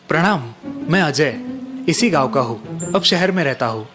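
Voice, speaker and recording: A man voicing a cocky, crafty young antique dealer in a cartoon story, confident and smoothly polite. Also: on the high side, expressive, normal speed, over music, lightly acted.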